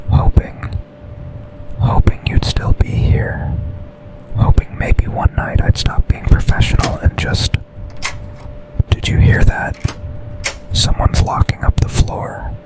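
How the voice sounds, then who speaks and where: Man acting as slow, whispering seductive boss. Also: close to an everyday voice, rough recording. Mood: intense, seductive. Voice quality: breathy whisper.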